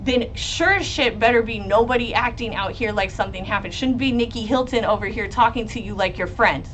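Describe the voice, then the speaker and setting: A woman lawyer with a loud voice, hosting a live stream about a trial and reading chat questions. She talks bluntly, swears freely and laughs often. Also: fairly high pitch, a little fast, slight background noise. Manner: blunt and profane, with frequent laughs. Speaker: lawyer and legal commentator.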